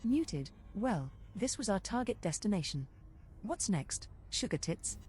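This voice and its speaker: A woman's voice: mid-range voice, sing-song, unhurried, a little mellow, husky. A woman voicing a sassy, foul-mouthed spaceship co-pilot computer with cheeky, sarcastic scripted game lines.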